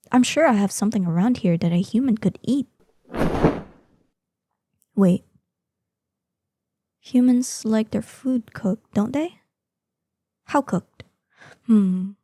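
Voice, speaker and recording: A woman playing an ancient, proud female dragon in a scripted audio roleplay, imperious in manner, with a breathy voice that lapses into whispers. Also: on the high side, mellow, dry recording, clean studio sound. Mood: excited, flustered. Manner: slow, imperious, savoring threats. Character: ancient, menacing, proud female dragon guarding hoard, lonely, excitable.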